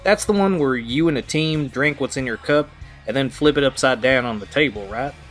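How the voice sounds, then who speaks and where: A man's voice: mid-range voice, normal speed, a bit bright, soft background music, close to an everyday voice. A man playing a sarcastic, exasperated pal at a party in an audio drama, wry and sweary.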